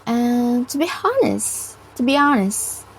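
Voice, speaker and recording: Slow-paced young Indonesian woman. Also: a little high, sing-song, a little hiss or hum, poor audio. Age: young adult. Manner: halting, careful non-native English with uneven grammar. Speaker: university student. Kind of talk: prepared personal reflection to camera.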